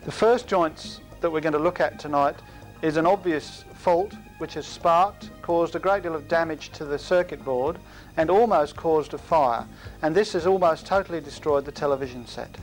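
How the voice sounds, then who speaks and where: A man's voice: on the high side, normal speed, low-quality recording. A man presenting an instructional training film, explaining things directly to trainees in a scripted style. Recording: music behind voice, VHS tape transfer.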